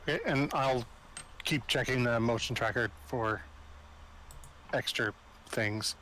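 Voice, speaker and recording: A man's voice: mid-range voice, takes it slowly, bright, forceful tone, slight background noise. A man chatting casually as a player in a tabletop roleplaying game.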